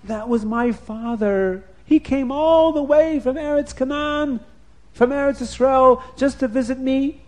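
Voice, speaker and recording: A man voicing a quick-tempered young husband with a raised, indignant voice. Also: very high-pitched, a little mellow, voice pushed up. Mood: furious.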